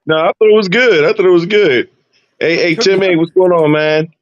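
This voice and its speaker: A man's voice: high voice, moderate pace, no room sound, muffled recording. A man co-hosting a casual online gaming chat, joking and laughing a lot. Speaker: co-host.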